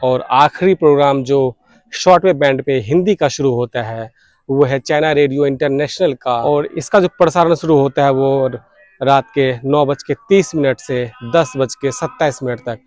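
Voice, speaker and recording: A man's voice: medium pitch, moderate pace, darkish tone, no echo. Man explaining in a dry, close recording. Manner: explanatory, mixes in English technical terms. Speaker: radio hobbyist vlogger, host. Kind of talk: informal video explainer, listing details.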